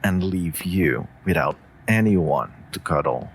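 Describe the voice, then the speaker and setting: Wolf familiar, played by a man: stoic protector, slow drawl, deep register, dry humor, comfort audio. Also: expressive, a little hiss or hum, exaggerated voice. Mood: soothing, dry teasing. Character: stoic wolf familiar, gruff but tenderly protective of mage. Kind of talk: intimate comfort audio roleplay.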